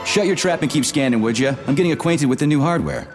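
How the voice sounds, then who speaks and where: A man voices an irritable male military agent in a video game. His pitch swings widely as he snaps out brusque, sarcastic remarks. Music plays underneath. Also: expressive, fast talker, lightly acted. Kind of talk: scripted video game character dialogue.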